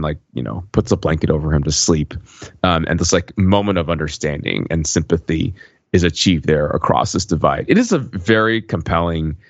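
A man co-hosting a podcast, recapping in casual conversation and building his point haltingly, phrase by phrase. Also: deep voice, fairly bright tone, no echo, lo-fi sound. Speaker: podcast co-host.

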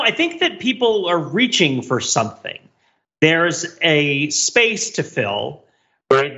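A man's voice: on the high side, pushed and bright, lo-fi sound. A middle-aged man hosting a podcast, talking in a discursive, wry, academic way in casual discussion. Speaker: podcast host.

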